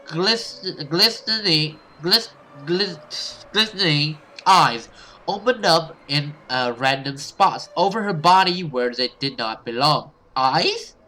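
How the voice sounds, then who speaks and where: A man doing expressive let's play commentary and dramatic read-aloud of a horror visual novel as a gaming host. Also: a little high, slow, poor audio. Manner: expressive, reactive, sweary.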